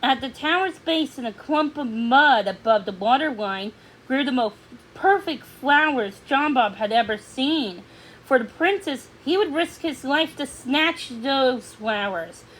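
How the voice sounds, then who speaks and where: A woman reading a children's story aloud as narrator, halting now and then over words. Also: high voice, animated, fairly bright tone, low-quality recording.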